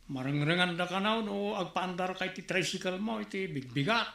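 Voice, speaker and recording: An actor playing a calm male village official who tries to settle a neighbors' quarrel in a comedy drama. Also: high-pitched, fairly quick, gravelly. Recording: echoey room recording.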